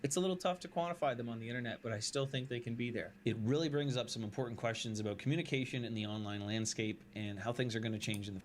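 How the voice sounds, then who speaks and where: A man's voice: mid-range voice, fast talker, few pauses, slight background noise. A man delivering an earnest, indignant monologue straight to his viewers, speaking emphatically as an online creator.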